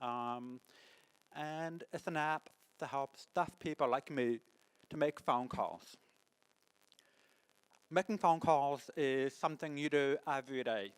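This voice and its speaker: A deaf man with a mixed French and English accent gives a conference talk, speaking haltingly with many restarts. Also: mid-range voice, clean tone, low-quality recording. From born in the United States, lives in France. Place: conference hall.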